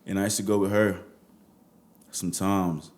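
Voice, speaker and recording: A man's voice: sits low, steady tone, moderate pace. A young Nigerian man with a Nigerian accent, talking casually as a musician guest in an interview.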